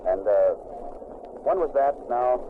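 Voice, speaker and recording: A man's voice: medium pitch, animated, slow. Man interviewing on a 1940s radio broadcast. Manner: chatty, prompting questions, light teasing. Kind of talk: wartime field radio interview. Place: aboard a navy landing ship. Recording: tinny lo-fi 1940s radio recording with hiss.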